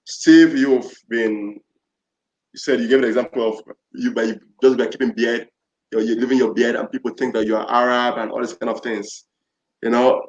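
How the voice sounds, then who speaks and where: A man's voice: medium pitch, flat delivery, moderate pace. A man from Nigeria leading a panel discussion, speaking earnestly and often repeating and restarting his phrases. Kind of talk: reflective panel talk. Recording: roomy, dull lo-fi audio.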